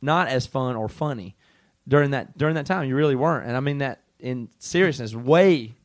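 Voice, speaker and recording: A man's voice: medium pitch, normal speed. A man co-hosting a live podcast with his bandmates, teasing a friend in a casual chat and laying out his points one after another. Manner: teasing, lists points in a building sequence. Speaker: band member and podcast co-host.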